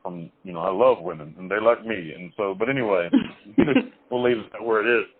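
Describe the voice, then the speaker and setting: Man, phone interview guest, rambling, halting restarts, blunt, tinny phone-line sound. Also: low voice. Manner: blunt, occasionally crude. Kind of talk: phone interview, recounting his life.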